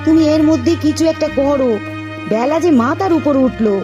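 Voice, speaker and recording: A woman's voice: high-pitched, a little fast, over music, lightly acted. A woman voicing an anxious, poor village mother in a folk-tale cartoon, plaintive and complaining.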